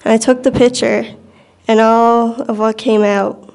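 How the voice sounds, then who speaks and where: A woman's voice: fairly high pitch, flat delivery, unhurried, vocal fry, darkish tone, clean tone. A teenage girl tells a personal ghost story in a casual, plain-spoken, slightly hesitant way. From Bell Island, Newfoundland, Canada. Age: teenager, about 14 to 15.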